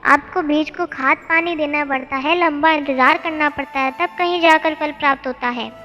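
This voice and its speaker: कहानी में एक महिला चिढ़े हुए, अधीर और बहस करने वाले युवक का किरदार बोल रही है।. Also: female voice, sits high, normal speed, sharp tone. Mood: exasperated. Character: impatient, irritated young man, restless disciple. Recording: long hall reverb, music bed.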